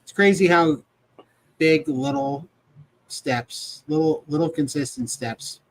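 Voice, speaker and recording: A man's voice: a little high, takes it slowly, low-quality recording. A man hosting a live video chat show, talking casually with informal, jokey banter. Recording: clear background noise.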